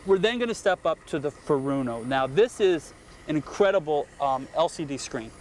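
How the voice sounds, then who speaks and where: A man's voice: fairly high pitch, animated, moderate pace, a little hiss or hum. A man hosting a boating how-to TV show, upbeat and prompting his guest with questions. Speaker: TV show host. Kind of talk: TV how-to presenting.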